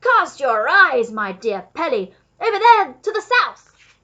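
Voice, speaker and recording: A woman voicing a grand, exuberant, generous male Duke in a storybook, with loud, emphatic, aristocratic delivery. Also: sits high, sing-song, moderate pace, dull audio, voice pushed up, full character voice. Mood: booming pride.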